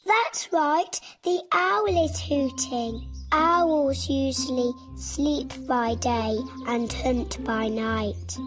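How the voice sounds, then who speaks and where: A woman's voice: high-pitched, drawn-out words, few pauses, fairly bright tone, heavily acted. A woman playing a cheerful, trusting little girl in a children's fairy tale, speaking in a friendly, simple way to young listeners. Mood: cheerful teaching. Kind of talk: children's storybook animation. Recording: music behind the voice.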